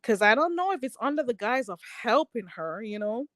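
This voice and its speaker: A woman's voice: on the high side, sing-song, a little fast, a bit bright, no room sound, clean studio sound. A woman hosting a casual livestream, reading out chat comments and chatting in a rambling way with lots of fillers and frequent laughter.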